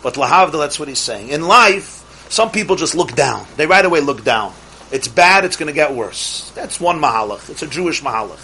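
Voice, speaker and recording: A man teaching a Torah class, lively and emphatic, mixing in Hebrew terms and swinging from loud exhortation to quiet asides. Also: a little high, brisk, a little hiss or hum, poor audio.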